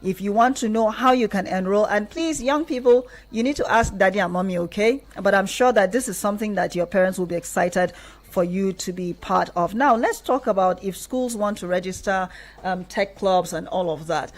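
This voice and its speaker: A woman with a Nigerian accent speaks as a radio host in a medium-pitched voice. Her style is casual and conversational, and she readily chimes in with short affirmations. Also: moderate pace. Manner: interjects often with short affirmations. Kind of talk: casual radio interview with phone-in.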